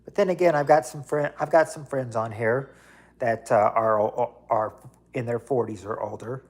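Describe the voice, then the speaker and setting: A man's voice: medium pitch, moderate pace. A man in his late thirties chatting casually on a livestream, rambling and repeating himself with lots of fillers. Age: 30s. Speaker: livestream host. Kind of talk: casual chat reading viewer comments.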